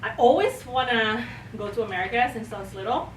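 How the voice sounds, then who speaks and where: A woman from Indonesia with an Indonesian accent, chatting casually as a podcast guest and telling her story in a giggly, animated way. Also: a little high, brisk. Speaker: podcast guest, church leader. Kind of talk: casual conversational interview. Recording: roomy, distant mic with clear background noise.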